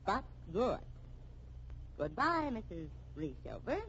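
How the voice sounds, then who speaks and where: A woman's voice: mid-range voice, very animated, slow, a bit bright, a little hiss or hum, full character voice. An actress playing a shrewd, needling amateur woman sleuth in a 1950s radio mystery, arch and pointed. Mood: confident, accusing. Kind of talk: 1950s radio drama acting. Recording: dull old radio recording.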